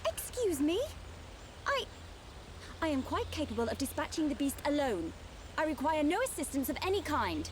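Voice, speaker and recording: A woman's voice: high voice, big pitch swings, moderate pace, pauses often, slight background noise. A British actress playing a proud, guarded young noblewoman in a video game, with a haughty, formal manner. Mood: indignant. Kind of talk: dramatic video game acting.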